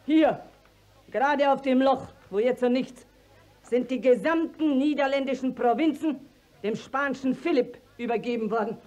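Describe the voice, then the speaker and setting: Radio drama: actress as long-winded, indignant country widow, high-pitched, declamatory and insistent plaintiff. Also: female voice, normal speed, a little mellow, natural voice. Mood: indignant, elaborate. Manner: declamatory, insistent, relishing every detail. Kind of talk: 1950s German radio play acting.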